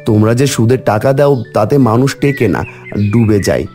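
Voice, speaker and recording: Animated, earnest poor farmer, played by a man. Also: medium pitch, moderate pace, soft background music, lightly acted. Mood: defiant, indignant. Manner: earnest, emphatic. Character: poor, honest, devout, proud village farmer. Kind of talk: cartoon voice acting.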